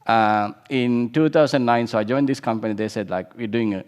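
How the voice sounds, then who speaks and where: A man's voice: medium pitch, fairly quick, clear, resonant tone. A man in his thirties from Sri Lanka, giving an informal conference talk with frequent restarts and fillers. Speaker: conference speaker.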